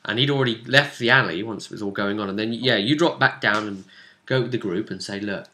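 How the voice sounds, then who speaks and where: A man's voice: on the low side, a little fast, bright, forceful tone. A man speaking as game master, casually recapping a tabletop game session and often thinking aloud as he goes.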